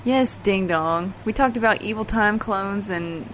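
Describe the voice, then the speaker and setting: A woman's voice: medium pitch, measured pace, fairly bright tone, slight background noise, close to an everyday voice, low-quality recording. A woman playing an exasperated, dry-witted character in casual audio drama banter, sardonic and matter-of-fact.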